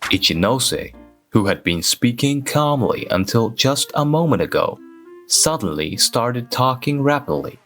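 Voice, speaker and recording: A man playing a reserved, awkward office worker who narrates his own manga-dub story in a measured, deadpan way. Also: medium pitch, soft background music. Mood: measured, wistful. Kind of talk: scripted first-person narration in a manga dub.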